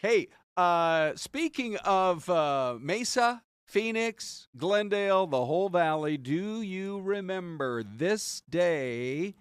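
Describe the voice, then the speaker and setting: Higher-pitched American man, dry studio sound. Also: expressive, takes it slowly, hardly any pauses, clean tone, dry recording. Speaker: talk show co-host. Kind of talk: casual news and weather banter.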